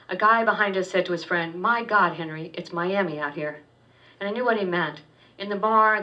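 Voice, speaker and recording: A woman author reads her fiction aloud with a steady, wry delivery and the odd stumble. Her voice is bright and slightly low, captured far from the mic on a dull, lo-fi recording. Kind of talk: literary reading of first-person fiction. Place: public reading at a university poetry center.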